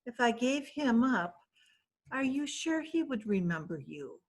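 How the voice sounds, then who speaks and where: A woman's voice: fairly high pitch, sing-song, unhurried, exaggerated voice. A woman reading Mrs. Courtney Page, chatty and proud. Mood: uneasy. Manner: chatty, self-satisfied. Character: wealthy worldly woman proud of her fiancé. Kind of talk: readers theatre play reading.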